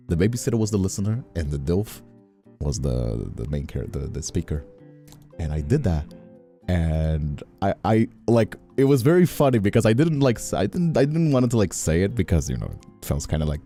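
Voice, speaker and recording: A man's voice: deep voice, very animated, normal speed, warm tone. A young adult man chatting casually on a livestream, rambling, stammering and repeating his words. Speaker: streamer and ASMR creator. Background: background music.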